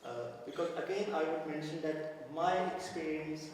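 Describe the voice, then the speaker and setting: A man's voice: on the high side, normal speed, a little hiss or hum. A young man who is not a native English speaker, a student candidate answering hesitantly with many fillers at a hustings. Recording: echoey hall, mic from far off.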